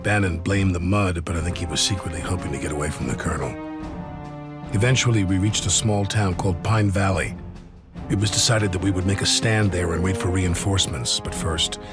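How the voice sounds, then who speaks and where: An actor playing a sober American army officer, giving measured, grave narration. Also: male voice, deep voice, natural voice. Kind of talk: scripted narration. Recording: music behind.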